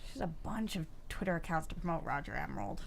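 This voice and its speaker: A woman's voice: low-pitched, animated, fast talker, creaky, a little hiss or hum. A woman playing an eager, upbeat young newcomer in a comedy script table read. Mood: warm.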